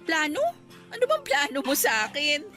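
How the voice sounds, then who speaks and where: Young Filipino teacher, candid, guarded, higher-pitched, bright voice, conversational radio drama. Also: female voice, big pitch swings, normal speed, soft background music, exaggerated voice. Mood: cautious, frank. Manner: conversational, mixing Tagalog and English. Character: young woman schoolteacher being courted, candid and cautious.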